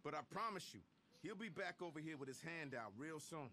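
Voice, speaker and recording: An actor playing a commanding middle-aged American music mogul in a video game, blunt and profane. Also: male voice, on the high side, lively intonation, a little fast, bright, forceful tone. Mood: angry, commanding. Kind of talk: video game cutscene acting.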